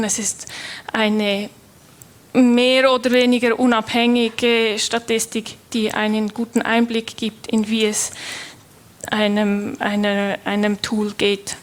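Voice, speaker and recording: Young Swiss woman, conference presenter; higher voice, slow, hesitant delivery with fillers. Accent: Swiss German. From Switzerland. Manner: hesitant, many filler sounds and restarts. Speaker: conference speaker, developer. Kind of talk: technical conference talk.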